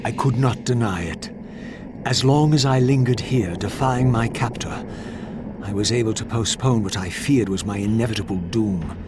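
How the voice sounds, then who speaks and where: A man's voice: lowish voice, moderate pace, noisy background, natural voice. A male actor playing a proud, defiant wraith in a video game, speaking in a formal, theatrical and bitterly sardonic manner. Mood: brooding. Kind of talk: dramatic video game dialogue and narration. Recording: loud music behind the voice.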